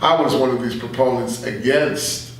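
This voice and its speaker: A middle-aged man speaking as an interview guest, conversational but earnest, in a halting way with repeated phrases. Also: medium pitch, steady tone. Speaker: radio journalist interview guest. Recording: very echoey room, far from the mic.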